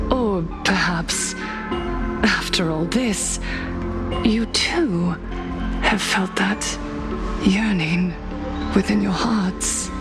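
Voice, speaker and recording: An actress playing a serene, fervent female cult leader in a video game, preaching in a measured, unctuously polite way. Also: medium pitch. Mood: soft, inviting. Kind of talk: theatrical video game voice acting. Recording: loud music behind the voice. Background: game soundtrack music.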